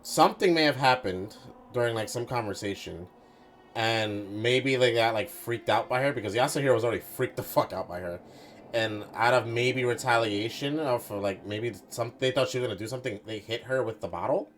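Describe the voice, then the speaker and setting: A man's voice: mid-range voice, fairly quick, slight background noise. A man talking casually and informally as a game streamer, swearing and thinking aloud through his theories. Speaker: streamer playing a mystery game.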